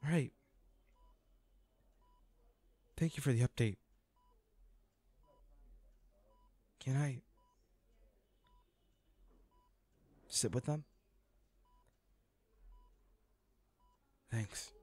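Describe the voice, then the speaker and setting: A man's voice: mid-range voice, fairly quick, mellow, breathy edge, no echo, close to an everyday voice. A man acting a hot-tempered but caring boyfriend in an intimate audio roleplay, with naturalistic, sweary delivery. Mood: anxious. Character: hot-tempered boyfriend, stressed then remorseful.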